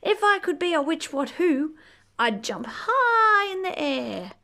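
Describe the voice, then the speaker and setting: A woman reading a rhyming children's book aloud expressively, drawing out key words and chatting gently to young listeners. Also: very high voice, vocal fry. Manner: animated, drawn-out key words, gentle questioning asides. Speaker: storybook reader reading to children.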